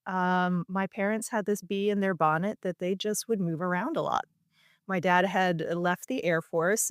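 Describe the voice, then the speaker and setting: A woman with a fairly low voice talks as a guest, candid and self-deprecating, laughing through her stories. The sound is dry and close, with no room echo. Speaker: podcast guest, actor. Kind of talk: casual interview conversation.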